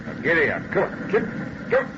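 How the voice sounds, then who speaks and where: An actor playing a strange, proud and stern old-time buggy driver in a radio drama, speaking deliberately and matter-of-factly. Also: male voice, a little high, wildly swooping pitch. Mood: brisk, urging. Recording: muffled, noisy old radio recording.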